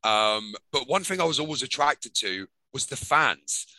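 A man's voice: medium pitch, lively intonation, a little slow, pushed and bright, no room sound, poor audio. An English man in his thirties from England, a wrestler telling his story candidly in a casual interview, with lots of ums. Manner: frequent ums, candid and chatty. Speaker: guest, professional wrestler.

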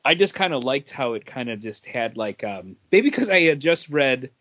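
A man's voice: medium pitch, big pitch swings, normal speed, a bit bright, dry recording. Man in a dull, dry podcast recording. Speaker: podcast co-host. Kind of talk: casual comic book discussion.

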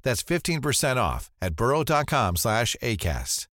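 A man's voice: medium pitch, sing-song, normal speed, fairly bright tone. A man doing an upbeat, salesy scripted ad read. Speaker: ad announcer.

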